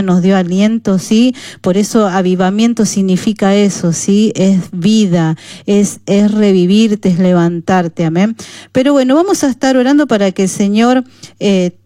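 A woman's voice: mid-range voice, dark tone, dry recording, studio quality. A woman hosting a devotional radio programme, speaking in a devout, encouraging way and often checking in with short tag questions. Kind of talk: devotional radio talk with listener messages and prayer. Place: radio studio.

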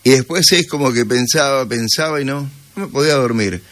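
A man's voice: medium pitch, fairly quick, a bit bright. A sleepy man with an Argentine accent hosting a morning radio show, chatting casually and unhurriedly with listeners.

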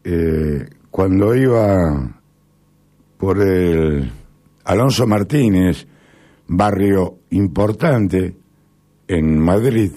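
A middle-aged Argentine man speaking in a rambling, halting monologue with sudden emphatic rises. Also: deep voice, expressive, warm tone, breathy edge. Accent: Argentine Spanish. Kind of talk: casual spoken monologue.

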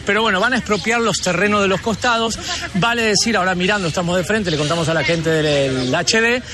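A man's voice: high voice, brisk. A man with an Argentine accent doing a live radio street report, chatty and rambling, with many restarts. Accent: Argentine Spanish. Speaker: field reporter. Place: outdoor balcony overlooking a plaza. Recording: outdoor live feed with clear background noise.

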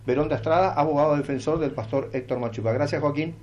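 Man, Argentine accent, news host, slightly high voice, interjecting interviewer, background noise. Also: quick pace, darkish tone, dull audio. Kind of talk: live broadcast interviewing and presenting.

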